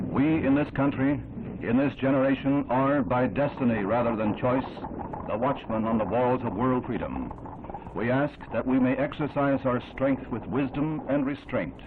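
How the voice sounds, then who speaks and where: A man's voice: mid-range voice, background noise. An American man reading scripted 1960s newsreel narration in a solemn, formal, dramatic oratorical manner. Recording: tinny band-limited 1960s newsreel soundtrack. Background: loud music.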